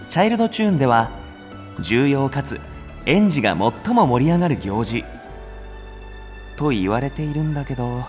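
A man's voice: medium pitch, lightly acted. An actor playing an earnest, clumsy young male nursery teacher in an audio drama, with a polite, easily flustered manner. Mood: narrating. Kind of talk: Japanese audio drama acting.

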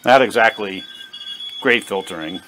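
A man giving a casual, unscripted narration at a workbench, plain-spoken and halting as he thinks aloud like a hobbyist repair vlogger. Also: lowish voice, bright, forceful tone, faint music behind. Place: indoor home workbench. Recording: clear background noise, workshop ambience.

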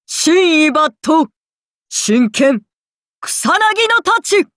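A woman's voice: very high voice, very animated, extremely slow, a bit bright, no echo, clean studio sound, heavily acted. A woman voicing a haughty, solemn serpent goddess in an anime game, speaking in archaic, commanding words. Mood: menacing, majestic. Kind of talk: Japanese anime game voice acting.

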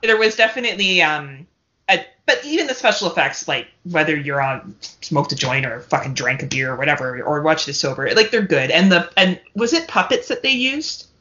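A woman with a bright, slightly low voice chats as a podcast guest. She is candid and swears freely, on a somewhat dull lo-fi recording. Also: lively intonation, a little fast. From Canada. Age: 30s or older. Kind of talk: casual chat.

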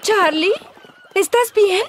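Gentle, slow-talking cartoon mom. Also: female voice, extremely high pitch, background noise. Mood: concerned. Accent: Latin American Spanish. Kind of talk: children's cartoon dub.

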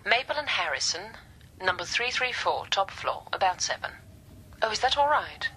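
Self-assured woman doctor on a phone call, radio drama: animated, crisp-edged, forward, faint noise on the line. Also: mid-range voice, normal speed. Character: brisk, forward female institute doctor on telephone. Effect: telephone voice.

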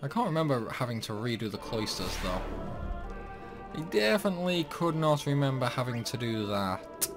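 A man's voice: mid-range voice, big pitch swings, normal speed. A chatty man giving casual playthrough commentary, playfully talking to the game's characters. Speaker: gaming video host. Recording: music behind the voice. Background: game music.

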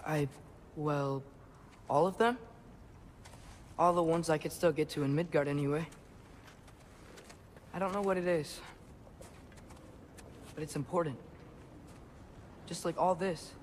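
A man's voice: fairly high pitch, steady tone, unhurried, pauses often, a little hiss or hum, close to an everyday voice. An actor playing an earnest, eager teenage boy explaining things in a video game cutscene, slightly hesitant. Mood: defensive, earnest.

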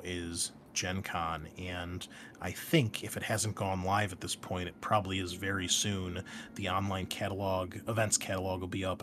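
Low-voiced man chatting over background noise. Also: a bit bright. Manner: enthusiastic, rambling. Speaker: host and game master. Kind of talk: casual introduction talk to listeners.

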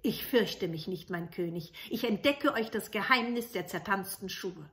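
A poor but brave soldier from a fairy tale, acted by a woman storyteller. The voice is bright and forward, earnest and courteous. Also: mid-range voice, normal speed, lightly acted. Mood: resolute.

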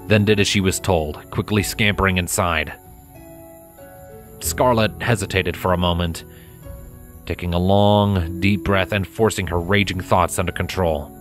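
Low-voiced man narrating a story over music. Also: fairly bright tone. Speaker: narrator of a fan fiction audiobook. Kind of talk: scripted dramatic story narration.

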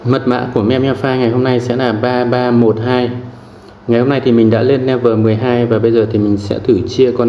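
A man hosting a tutorial channel, calmly talking viewers through app steps one at a time. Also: mid-range voice. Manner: calm step-by-step instructions. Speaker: channel host. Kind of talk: screen-recorded tutorial narration. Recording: roomy, muffled, faint background noise.

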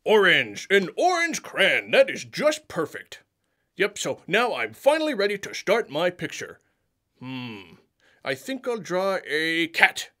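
Goofy-sweet monster puppet for kids, cant decide anything, voice swoops all over, drags words out. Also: male voice, high voice, huge pitch swings, sharp tone, heavily acted. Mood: delighted. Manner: exclaiming, dithering, drawn-out words. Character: excitable, indecisive shaggy monster puppet, childlike and friendly. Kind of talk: children's puppet show dialogue.

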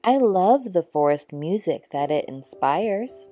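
A woman voicing a wise, gentle old male owl in a storybook, patient and measured as he explains. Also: on the low side, lively intonation. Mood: earnest. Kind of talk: storybook character voice.

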